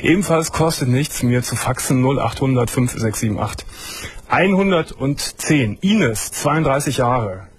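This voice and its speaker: A man hosting a late-night call-in show from a studio, asking calm, probing questions and giving short replies as he listens. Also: mid-range voice, a little slow, husky, dry recording. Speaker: radio and TV talk show host.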